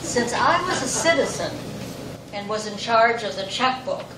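A woman's voice: a little high, animated, normal speed. An older woman telling a personal story to a live audience with wry, self-mocking humor. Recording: roomy, distant, noisy live recording.

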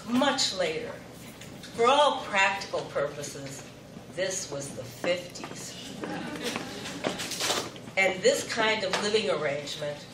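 An older woman telling a wry personal story to an audience, unhurried and dry-humored. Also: on the low side. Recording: roomy hall from far off with noise.

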